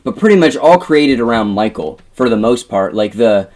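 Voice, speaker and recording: A man's voice: medium pitch, lively intonation, normal speed, darkish tone. A young adult man speaking as a podcast co-host in a casual chat among friends.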